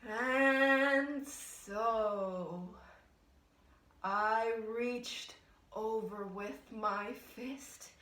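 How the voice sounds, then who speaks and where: A woman playing a frazzled, unhinged woman in a volatile theatrical monologue, bitter about life and swinging between hushed confiding, sobbing and screaming. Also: high voice, sing-song, slow, exaggerated voice. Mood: hysterical, furious. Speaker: actress performing a one-woman monologue. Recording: roomy echo, distant microphone.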